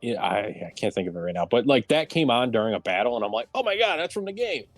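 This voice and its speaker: A man's voice: medium pitch, very animated, fast, no echo, soft background music. A man hosting a casual round-table chat about movies and games, speaking in an enthusiastic, opinionated way. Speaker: host of round-table discussion.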